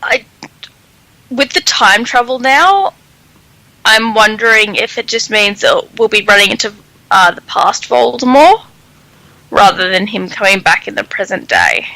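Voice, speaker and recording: Woman podcast co-host, bright forward voice, mid pitch, dry sound, casual discussion. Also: a little slow, gravelly, dry recording, low-quality recording.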